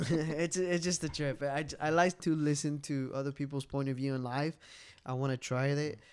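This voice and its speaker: A man's voice: a little high, flat delivery, moderate pace, a little mellow, smooth. A man in his late twenties chatting casually and candidly on a podcast, rambling, repeating himself and swearing loosely. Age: late 20s. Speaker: podcast co-host.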